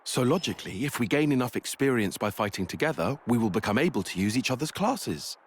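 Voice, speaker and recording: A man's voice: mid-range voice, animated, fast, a little hiss or hum, close to an everyday voice. A man playing a logical, cautious young soldier in a video game, with formal, precise wording. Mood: analytical. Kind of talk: video game cutscene acting.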